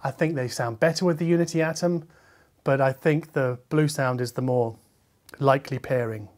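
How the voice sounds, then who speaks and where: A middle-aged man, a reviewer talking conversationally to camera in a measured, explanatory way, qualifying his points as he goes. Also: on the high side, a little mellow. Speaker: hi-fi reviewer and video host. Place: medium-sized living room listening space, indoors.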